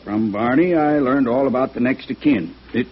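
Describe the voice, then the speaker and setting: An actor playing a bantering, nosy retired private investigator turned farmer in a 1950s radio drama. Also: male voice, mid-range voice, moderate pace, husky, lightly acted. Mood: chatty, eager. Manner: bantering, mock-complaining. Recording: muffled band-limited vintage radio recording.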